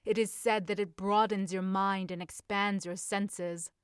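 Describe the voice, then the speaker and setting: A woman's voice: mid-range voice, fairly even intonation, measured pace, clean tone, dry recording, clean studio sound, natural voice. A woman reading as a confident, scheming young society lady, eager and formal in a period story. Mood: eager, coaxing. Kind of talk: audiobook-style character reading.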